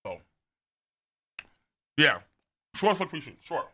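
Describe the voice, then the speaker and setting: A man hosting a livestream, chatting casually in a loud, animated, rambling way with lots of swearing and a slightly strained throat. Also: on the high side, slow, husky. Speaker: live streamer, host. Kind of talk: casual livestream chat, reading viewer messages.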